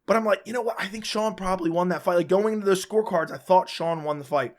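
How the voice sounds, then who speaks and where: A man who is sick and losing his voice, hosting a casual, opinionated solo recap of a fight round by round. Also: high-pitched. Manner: casual, opinionated, talks fast in bursts with restarts. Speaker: MMA commentator, channel host.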